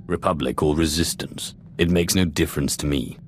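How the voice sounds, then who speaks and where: An actor playing a confident, dry Sith apprentice in a video game, with a cool, sardonic, measured delivery. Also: male voice, a bass voice, a little hiss or hum. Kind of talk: video game character dialogue.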